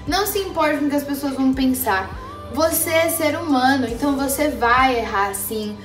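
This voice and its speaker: A young woman vlogger chatting casually and motivationally, animated and slangy, speaking straight to her viewers. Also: high-pitched, normal speed. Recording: roomy echo, faint background music.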